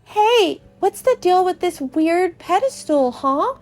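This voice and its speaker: A woman puts on an eager young female creature sidekick for a game read-aloud. The voice sits high and peppy. She exclaims and questions everything with keen curiosity. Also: animated, slow, darkish tone, soft background music, exaggerated voice. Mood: curious.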